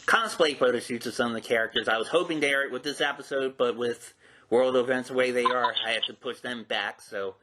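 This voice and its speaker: Bright-voiced man hosting a podcast interview. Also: mid-range voice, fairly quick, slight background noise, low-quality recording.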